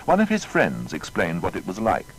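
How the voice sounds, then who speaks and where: A man reading a scripted biography as narrator, in a measured and formal storytelling manner. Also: low-pitched, wildly swooping pitch, vocal fry, a little hiss or hum, poor audio.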